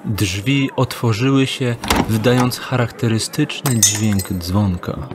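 A man narrating an audio drama in a steady, descriptive storytelling manner. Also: lowish voice, slow pace, heavy background noise. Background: music.

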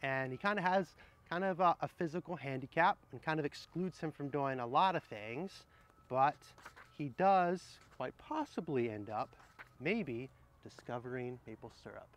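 A middle-aged man with a slightly higher-pitched voice shares children's picture books outdoors. He talks straight to the viewer in a gentle, explanatory manner, suited to reading aloud and casually recommending books. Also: animated, moderate pace. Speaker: horticulturist presenting children's books. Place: outdoors under trees in an arboretum garden.

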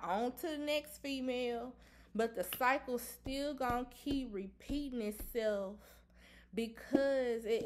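A woman with a slightly high voice reads tarot cards at a table in a room at home. She speaks bluntly and with emphasis, and she repeats herself as she drives each point home. She swears casually, the way she would in everyday talk. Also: a little hiss or hum. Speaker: tarot reader. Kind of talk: casual tarot reading to camera.

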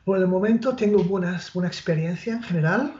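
A man in his forties with a Spanish accent, a literary translator answering interview questions over a video call, pausing and restarting often and adding tag questions. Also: sits high, a little mellow. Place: small room at home with bookshelf. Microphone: earphone mic on video call. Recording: roomy, dull lo-fi call audio.

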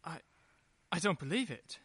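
A man acting a tense, testy gentleman husband in a radio play. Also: fairly high pitch, expressive, moderate pace, breathy edge, dry recording, full character voice. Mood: rattled, angry.

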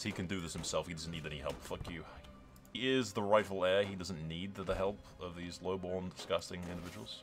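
A man's voice: lowish voice, sharp tone. A man hosting a let's-play, commentating in a rambling, enthusiastic, casual way that slips into dramatic role-play and swearing. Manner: rambling, enthusiastic, sweary, addresses viewers as lads. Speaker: gaming YouTuber, host. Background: game music.

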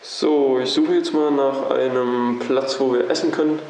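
A man's voice: mid-range voice, steady tone, measured pace, darkish tone, poor audio. A man giving casual, on-the-spot commentary as he explores inside a derelict building. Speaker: urban explorer and presenter.

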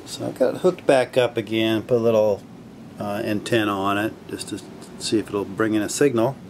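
A man casually narrating his radio restoration at a home workbench, relaxed and full of fillers as he thinks aloud. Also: on the low side, normal speed, a little hiss or hum. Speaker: hobbyist restoring a vintage radio.

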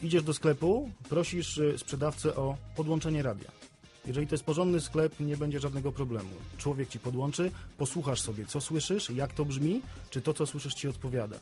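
A man's voice: fairly high pitch, fairly quick, dark tone, faint music behind. A man speaking as a specialist guest in a radio interview, explaining things and often repeating words as he goes. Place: radio studio.

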